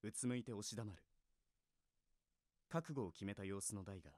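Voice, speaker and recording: A man's voice: fairly low pitch, moderate pace, darkish tone, no echo, studio quality. A man reading scripted narration for an anime audio drama.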